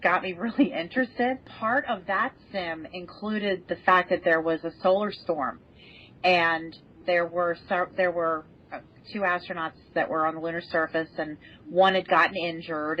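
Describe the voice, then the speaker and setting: Lower-voiced woman chatting, slightly dull audio. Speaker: podcast guest who helped write a space radio drama. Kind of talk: casual panel discussion. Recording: dull, band-limited lo-fi audio.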